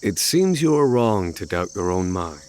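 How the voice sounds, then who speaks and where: An actor playing a grave, calm, thoughtful man who speaks formally and deliberately, with quiet menace, in a video game. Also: low-pitched, a little hiss or hum. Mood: calm, musing. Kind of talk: dramatic video game voice acting.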